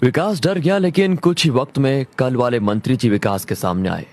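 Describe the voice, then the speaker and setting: A man's voice: medium pitch, normal speed, a little hiss or hum. A man narrating a scripted horror story as a storyteller. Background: loud background music.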